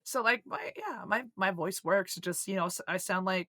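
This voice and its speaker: Woman, hoarse, croaky, bright tense tone, dry close mic, crude joking podcast chat. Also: medium pitch, expressive, moderate pace, dry recording. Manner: swearing, joking, laughing often. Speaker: podcast co-host.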